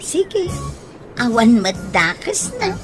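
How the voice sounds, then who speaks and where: A woman's voice: fairly high pitch, big pitch swings, takes it slowly. A woman with an Ilocano accent playing a plaintive young woman in a radio drama, on a noisy recording. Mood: hesitant.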